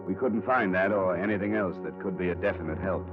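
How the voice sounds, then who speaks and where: Male actor, low register: hardboiled investigator-narrator, terse and probing, vintage radio crime drama, dull recording with music. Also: a little fast, natural voice. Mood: matter-of-fact narration. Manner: terse, probing, matter-of-fact.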